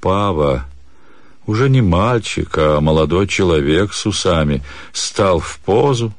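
A man reading scripted literary narration in a measured way, with deliberate pauses. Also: low voice, animated, no room sound. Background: music.

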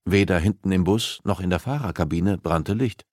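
Male audiobook narrator, fairly low register, dry sound, scripted dramatic suspense storytelling. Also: normal speed, a little mellow, dry recording.

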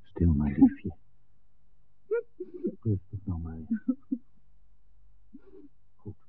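An actor playing a patient, tender young suitor in a 1960s Dutch radio drama. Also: male voice, deep voice, very slow, breathy edge, heavily acted. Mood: soothing. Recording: muffled old radio, faint background noise.

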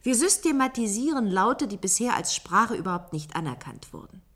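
An actress playing an earnest, idealistic researcher in a radio play, explaining herself with conviction. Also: female voice, mid-range voice, expressive, normal speed, fairly bright tone. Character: earnest, idealistic woman absorbed in language research.

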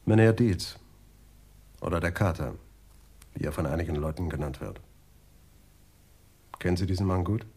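Deep, husky, weary police adjutant. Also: male voice, normal speed, pauses often, a little mellow. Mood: businesslike, insistent. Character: weary, terse Amsterdam police adjutant, introspective. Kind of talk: radio drama acting.

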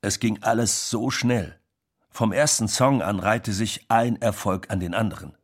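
A music business man looks back in a radio drama. His voice is fairly low, and he recounts things in a matter-of-fact way. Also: a little slow. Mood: marveling. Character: music business man marveling at past success. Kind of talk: documentary radio drama monologue.

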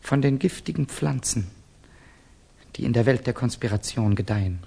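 An actor playing a guarded, brooding Russian exile in a German radio play, speaking in a terse, grave, defensive way. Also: male voice, medium pitch, moderate pace, vocal fry, dark tone, husky. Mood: guarded, bitter.

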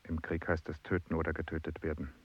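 An actor playing a hard, pitiless narrator of war in a radio drama, with a husky voice. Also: male voice, rumbling low, completely flat delivery, fast talker. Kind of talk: avant-garde radio drama. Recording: dull, muffled sound.

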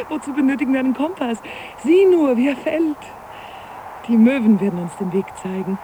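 An actress playing a restless middle-aged wife in a German radio drama, mocking and daring, often dropping to a deliberate murmur. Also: female voice, high-pitched, expressive. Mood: reckless glee. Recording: noisy cassette copy of radio drama.

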